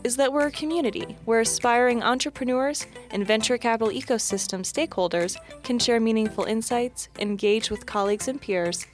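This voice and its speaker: A woman hosting a startup podcast, reading the intro and interviewing a guest in an upbeat, easy manner. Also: fairly high pitch. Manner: upbeat, laughs easily. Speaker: podcast host.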